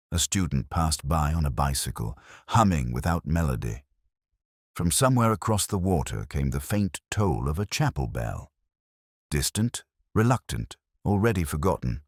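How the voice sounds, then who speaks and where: A brooding, bookish detective acted by a man narrates a crime drama in a very deep male voice. The delivery is measured and melancholy, yet the intonation moves widely within each thought. The sound is dry, with no room around it. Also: sing-song, no echo, heavily acted. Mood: somber, reflective. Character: brooding, literary male police detective narrating his case. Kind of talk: slow scripted first-person mystery narration.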